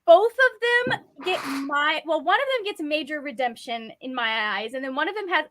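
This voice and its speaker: High-voiced woman, animated book chat. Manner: animated, halting, restarts and laughs. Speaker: book club co-host. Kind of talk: casual book discussion.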